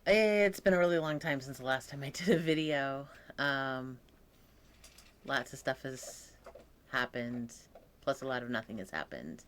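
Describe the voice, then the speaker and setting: Young man, unhurried and reflective, voice that sometimes croaks, casual vlog talk. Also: mid-range voice, animated, fairly bright tone, slight background noise. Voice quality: occasionally croaky voice. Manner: slow, thoughtful, with many pauses and fillers. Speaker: vlogger. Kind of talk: casual personal update.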